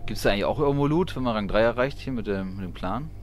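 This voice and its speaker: A man's voice: lowish voice, animated, rapid-fire, a little hiss or hum. A man streaming a game live, chatting and grumbling as he thinks aloud. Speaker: gaming streamer. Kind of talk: casual live gameplay commentary. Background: game music.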